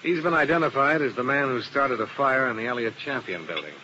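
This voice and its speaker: A man's voice: medium pitch, a little fast, close to an everyday voice. An actor playing a hard-boiled male insurance investigator who narrates the case in a clipped, matter-of-fact way, in a 1950s radio drama. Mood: probing. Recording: muffled old radio recording with hiss.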